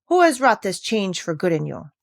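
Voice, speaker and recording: A woman's voice: mid-range voice, big pitch swings, normal speed, creaky, no echo. A woman acts out a schoolmaster from a children's storybook. He is long-suffering and incredulous, with formal, pompous, wordy speech, recorded close and dry. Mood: curious. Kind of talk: children's storybook read aloud.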